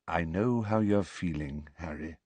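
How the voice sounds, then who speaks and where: Guy reading as a very old wizard headmaster, soft and low, slow and calm, dry sound. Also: no echo. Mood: calm, gentle. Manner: quiet, gentle, unhurried.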